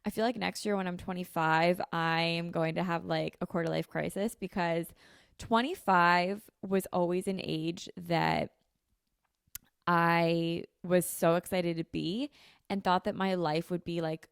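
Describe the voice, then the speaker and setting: A woman's voice: lowish voice, creaky, clean tone, no room sound, clean studio sound. A woman in her twenties hosting a casual solo podcast from her home kitchen, talking in a chatty, rambling way full of "like" and "um" into a handheld mic. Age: 20s. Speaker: podcast host. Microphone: handheld dynamic mic held close.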